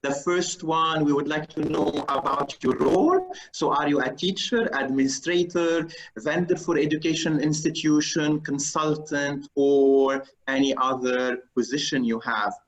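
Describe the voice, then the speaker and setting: A man hosting a webinar on a video call who runs an audience poll in a procedural way, pausing with fillers as he reads the results. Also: on the high side, a little hiss or hum, poor audio. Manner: procedural, pauses with fillers while reading the results. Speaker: webinar host. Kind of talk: moderating a live webinar poll.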